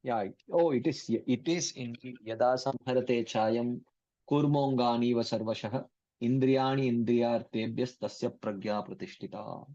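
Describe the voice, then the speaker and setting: A man's voice: medium pitch, dark tone, dry recording. Man chanting verses on a dull, dry line. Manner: chants verses in Sanskrit, then explains them in English; halting, with many fillers and restarts. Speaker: scripture class leader. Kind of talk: online scripture study class. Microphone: computer mic on an online call. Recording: dull, dry lo-fi call audio.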